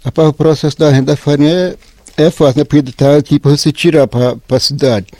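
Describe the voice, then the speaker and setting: Rural male interviewee, Cearense accent, soft-edged slightly high voice, informal, plain-spoken explanations. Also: fairly even intonation, brisk, no room sound. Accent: rural Northeastern Brazilian, Ceará. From rural Ceará, Brazil. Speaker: interviewee, flour-house worker.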